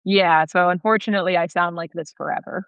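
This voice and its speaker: Young woman w/ boston accent, chatty and upbeat, crisp voice, kinda muffled dry sound. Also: fairly low pitch, steady tone, fast, a bit bright, no room sound. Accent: Boston American. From Australia. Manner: chatty, enthusiastic, self-deprecating. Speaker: guest author. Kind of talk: casual interview chat.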